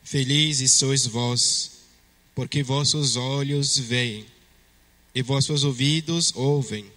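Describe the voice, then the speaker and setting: Slow-speaking Togolese priest, French accent. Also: male voice, mid-range voice, steady tone, pushed and bright. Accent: French-speaking West African. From Togo. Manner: slow, measured liturgical delivery. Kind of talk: Gospel reading and homily at Mass. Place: church. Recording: long church reverb.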